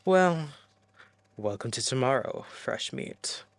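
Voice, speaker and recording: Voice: mid-range voice, animated, slow, a little hiss or hum. An actor playing a sardonic, flippant scavenger in a science fiction radio play, teasing and wry. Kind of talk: radio play character acting.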